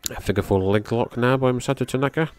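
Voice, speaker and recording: A middle-aged man, a podcast host giving loose, rambling play-by-play of a match, who swears casually and lifts his voice at the big moves. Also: fast talker. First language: English. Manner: loose, rambling, swears casually, gets excited at high spots.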